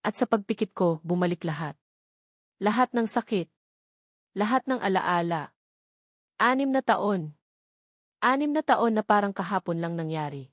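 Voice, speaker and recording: A woman's voice: mid-range voice, dry recording, studio quality. A woman reads a dramatic first-person story as a scripted narrator.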